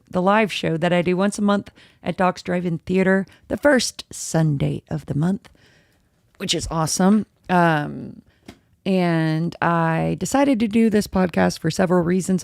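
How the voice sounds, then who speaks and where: A woman hosting her own casual podcast, a little hoarse, chatty and rambling as she interrupts herself. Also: darkish tone, no echo, studio quality. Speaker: podcast host. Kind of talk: casual solo podcast chat, live-streamed. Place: home room with dogs. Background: dogs and a squeaky toy at times.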